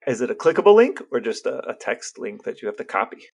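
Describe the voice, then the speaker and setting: Male co-host, gravelly fry in a mid-pitched voice, wry and casual. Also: very animated, fast, darkish tone. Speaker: podcast co-host. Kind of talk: casual chat.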